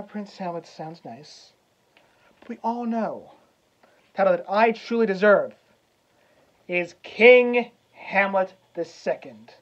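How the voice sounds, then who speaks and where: A man playing a vengeful young prince as a cocky wrestler cutting a theatrical promo, swaggering and taunting with dramatic pauses. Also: high-pitched, muffled recording. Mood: smug, defiant. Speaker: actor.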